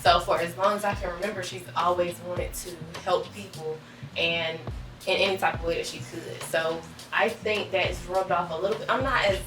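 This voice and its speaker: A woman's voice: on the low side, steady tone, normal speed, reverberant, far from the mic, music in the background. A woman in her thirties talking casually in an interview, rambling with many likes and ums. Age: 30s. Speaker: guest.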